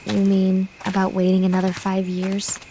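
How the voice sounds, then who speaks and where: A woman's voice: medium pitch, monotone, normal speed, mellow, a little hiss or hum, lightly acted, rough recording. A woman voicing a grave, idealistic young psychic soldier in a video game, earnest and solemn. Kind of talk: dramatic video game voice acting.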